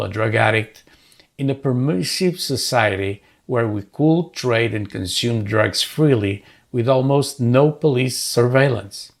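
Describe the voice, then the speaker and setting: A man in his sixties from Portugal, narrating his own book in an earnest, measured way as personal testimony. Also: medium pitch, creaky.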